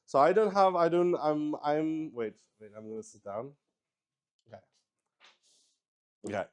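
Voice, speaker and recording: A man's voice: fairly high pitch, expressive, a little slow. A man hosting a casual livestream, thinking aloud and rambling in a hesitant way. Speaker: streamer hosting a solo tabletop roleplay stream. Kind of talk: casual improvised livestream chat.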